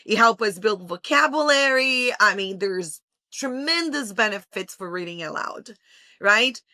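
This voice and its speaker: Bright-voiced earnest woman guest. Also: medium pitch, animated, a little slow, no room sound. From Brazil. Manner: earnest and passionate. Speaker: guest, homeschooling mother. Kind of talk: interview conversation.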